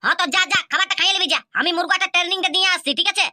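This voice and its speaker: A woman's voice: very high-pitched, sharp tone, no echo, clean studio sound, exaggerated voice. A woman voicing a bossy village big brother. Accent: Purulia Bengali. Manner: bossy, scolding, quick. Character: bossy, hot-tempered village elder brother.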